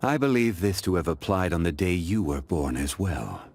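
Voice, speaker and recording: A man playing an ancient, calm, dignified gentleman in a video game, speaking in a formal, measured, reflective way. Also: sits low, full character voice. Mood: gentle, solemn. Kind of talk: scripted video game character voice lines.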